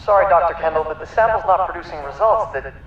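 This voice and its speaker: A man's voice: a little high, fast, faint music behind, natural voice. An actor playing a timid, apologetic male lab assistant in a video game cutscene, recorded with a dull echo. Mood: anxious, apologetic. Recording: dull, echoey, faint noise.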